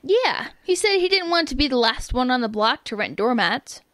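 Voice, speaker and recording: A woman's voice: sits high, very animated, moderate pace, a bit bright, no room sound, clean studio sound. A woman acting as a blunt, confident, enterprising daughter in a family radio comedy. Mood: businesslike.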